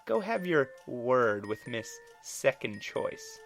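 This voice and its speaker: A woman's voice: rumbling low, big pitch swings, normal speed, soft background music, pitched above the performer's own voice, full character voice. A narrator putting on a sneering noblewoman. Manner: sneering, mocking. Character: haughty venomous young noblewoman.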